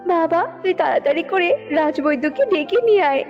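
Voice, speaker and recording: Woman acting as mother bird, frantic pleading, very high pitch, slightly muffled cartoon audio. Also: lively intonation, normal speed, soft background music. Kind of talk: cartoon fairy-tale acting.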